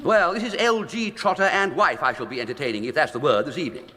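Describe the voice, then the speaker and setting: An actor playing a flippant, idle young English gentleman with a breezy, plummy, upper-class accent and exclamatory period slang, in a British radio comedy. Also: on the high side, quick pace. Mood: chatty, flippant. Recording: long echoing room reverb.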